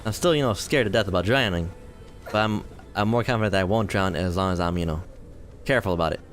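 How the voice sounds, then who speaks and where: A man in his twenties giving rambling, self-deprecating commentary while playing a game, his voice tired and a little hoarse. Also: low-pitched, fast talker, faint music behind. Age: 20s. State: voice tiring, slightly hoarse. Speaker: gaming video host. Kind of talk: casual let's-play commentary.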